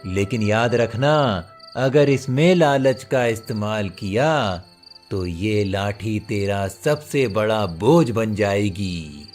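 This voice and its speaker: A man's voice: lowish voice, takes it slowly, a little mellow, faint music behind. A man voicing a wise, stern male magical bird in a cartoon story, speaking solemnly and giving blessings and warnings.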